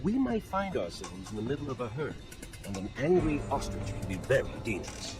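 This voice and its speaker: Man acting as pompous wordy scholar, fussy, formal, lively swooping intonation, video game, clear music underneath. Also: medium pitch, brisk, natural voice. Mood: pedantic concern.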